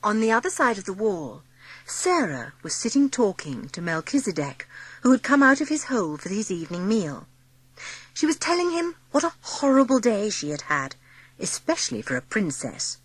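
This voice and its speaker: Expressive, husky woman storyteller. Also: mid-range voice, normal speed. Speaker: audiobook narrator. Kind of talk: scripted storybook narration.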